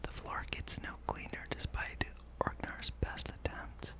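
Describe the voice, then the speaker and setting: Whispering ASMR gaming host, rambling softly. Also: measured pace. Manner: rambling, thinking aloud.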